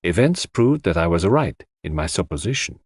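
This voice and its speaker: A man plays a shrewd foreign detective in an audiobook, courteous and formal. His pitch moves in lively swings as he sets out his theories precisely, in a close, dry sound. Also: moderate pace, breathy edge, no echo, heavily acted. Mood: confident, explanatory. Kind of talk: audiobook character dialogue.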